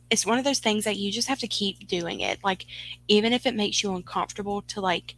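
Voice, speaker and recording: A woman's voice: medium pitch, a bit bright, low-quality recording. A woman, chatting casually and encouragingly with viewers on a live stream, rambling with lots of fillers. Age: 20s. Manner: rambling, filler-heavy and upbeat. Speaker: livestream host.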